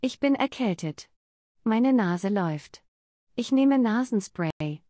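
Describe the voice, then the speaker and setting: A woman with a soft, mellow voice reads practice sentences. She speaks in a friendly, clear way. The sound is dry and close. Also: fairly high pitch, lively intonation, takes it slowly, no echo. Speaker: language lesson reader. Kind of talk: slow read-aloud German practice sentences.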